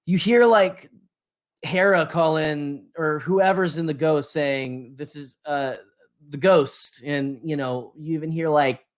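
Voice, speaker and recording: A man's voice: on the high side, steady tone, takes it slowly. A man co-hosting a podcast in a casual fan discussion, rambling enthusiastically, often trailing off and repeating his words. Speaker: podcast co-host.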